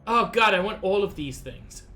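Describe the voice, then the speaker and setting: A woman's voice: medium pitch, expressive, normal speed, pushed and bright. A slightly sick woman streaming a game, chatting anxiously with her viewers in casual commentary. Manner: chatty, anxious, talks to chat. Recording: faint game music behind.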